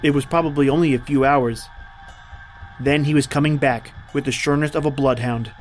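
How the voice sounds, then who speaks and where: An actor playing a tense, determined hunted man, narrating his ordeal gravely and deliberately as in an old-time radio drama. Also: a little high, fairly even intonation, darkish tone, lightly acted. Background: background music.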